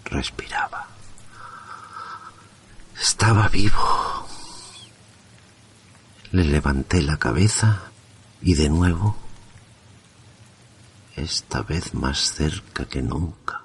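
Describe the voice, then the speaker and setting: Deep-voiced man narrating horror. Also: husky. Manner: slow, grave, hushed.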